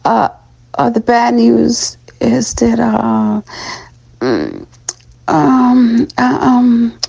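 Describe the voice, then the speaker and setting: Woman acting a high-voiced, hesitant young woman. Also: lively intonation, creaky, dark tone, slightly rough, muffled recording, full character voice. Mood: nervous. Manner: hesitant, halting. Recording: music behind the voice.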